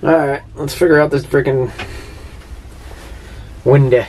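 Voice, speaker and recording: A man's voice: medium pitch, fairly even intonation, unhurried, a little mellow, distant mic. A man in his thirties chatting casually in a folksy, slangy, easygoing way for a DIY vlog. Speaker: vlogger and channel host. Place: small plywood-lined camper shell on a car. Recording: clearly noisy, with ambient background sound.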